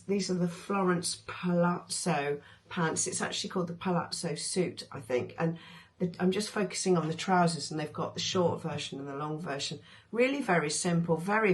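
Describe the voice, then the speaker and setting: A woman speaks in a somewhat low, hoarse voice that is clearly giving out on her. She chats informally, hesitating with ums and restarting her sentences as she talks through sewing patterns. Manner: chatty, with many ums and restarts. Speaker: sewing vlogger. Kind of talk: casual chat reviewing sewing patterns.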